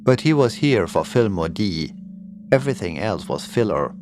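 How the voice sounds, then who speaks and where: A man with a fairly low voice narrates a scripted horror tale. Eerie music plays clearly behind him as he reads the prose in audiobook style. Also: very animated, normal speed. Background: creepy music and sound effects.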